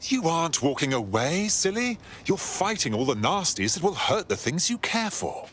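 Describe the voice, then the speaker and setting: Very animated British resistance man. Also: a little high, moderate pace, slight background noise. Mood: reassuring. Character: cheeky, reassuring male resistance operative. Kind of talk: video game cutscene acting.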